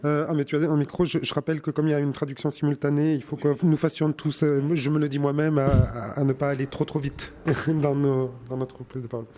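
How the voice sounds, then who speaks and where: Man, workshop chair, quick flat delivery, mellow voice, distant from mic. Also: on the high side. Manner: quick, monotone, stumbling repeats. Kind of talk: moderating a discussion.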